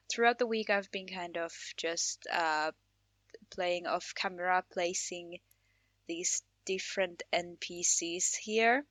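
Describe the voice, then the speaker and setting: A woman's voice: medium pitch, fairly bright tone, dry recording. A woman from Finland hosting a casual Let's Play, talking in a chatty, informal way. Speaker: gaming channel host.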